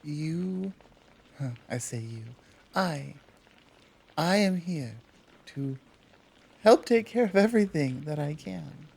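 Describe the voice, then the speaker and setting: A woman playing a gentle but guarded, protective Amazon warrior in an ASMR roleplay, soft-spoken and earnest, with hesitant pauses and gentle chiding. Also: low-pitched, animated, vocal fry, slightly rough, full character voice. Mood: thoughtful, challenging. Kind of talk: ASMR roleplay, one side of a dialogue.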